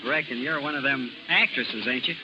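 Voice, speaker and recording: An actor playing a naive, earnest young farm hayseed in a 1930s radio drama, shy and folksy. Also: male voice, mid-range voice, normal speed, lightly acted. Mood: shy, eager. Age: young adult. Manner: shy, folksy, stammering politeness. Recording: muffled, noisy 1930s radio recording.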